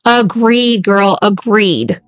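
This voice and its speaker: A woman's voice: mid-range voice, animated, takes it slowly. A middle-aged woman co-hosting a casual podcast, effusive and emphatic, often repeating herself for stress. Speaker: podcast co-host reacting to the story.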